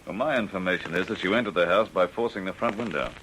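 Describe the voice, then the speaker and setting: An actor playing a young police constable in an old radio crime drama, official, patient and insistent. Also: male voice, low-pitched, fast, a bit bright, a little hiss or hum, low-quality recording. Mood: calm, probing. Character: firm, unflappable young male police constable.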